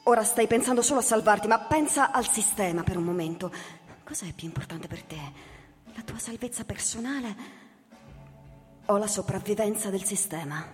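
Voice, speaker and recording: With music underneath and a long reverb, an actress plays a resolute woman in a radio thriller. She is tense and earnest, with a medium-pitched, dramatic voice. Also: lively intonation, normal speed, close to an everyday voice. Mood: urgent, reproachful. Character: tense, resolute woman pleading for loyalty to the system. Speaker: actor. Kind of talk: theatrical radio drama dialogue.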